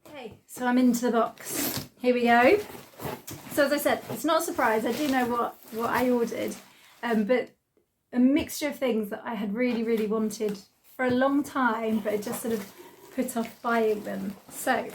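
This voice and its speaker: Croaky British woman chatting about sewing. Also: a little high, moderate pace, slight background noise. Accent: British English. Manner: chatty, excited, many ums. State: croaky from laryngitis. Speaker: sewing channel host. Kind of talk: casual vlog chat.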